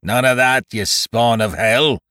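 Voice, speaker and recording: A man's voice: low-pitched, sing-song, slow, gravelly, a bit bright, no room sound, clean studio sound, full character voice. A man voicing a brutal, drunken, leering pirate captain with archaic sailor speech in an adventure audiobook. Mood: furious. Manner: roaring, maudlin jocularity, archaic sailor speech.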